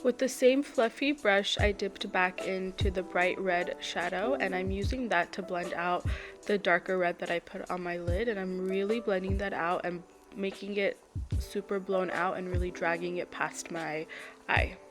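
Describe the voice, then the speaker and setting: A woman's voice: fairly low pitch, fairly even intonation, moderate pace. A young woman in her twenties, a bit congested and nasal, chatting through a makeup tutorial voice-over step by step and apologizing now and then. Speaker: beauty vlogger. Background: background music.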